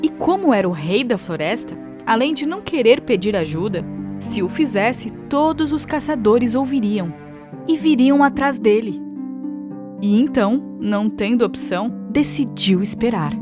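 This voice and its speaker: A woman's voice: a little high, expressive, normal speed. A woman with a Brazilian accent narrating a scripted fable for children as a storyteller. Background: children's music.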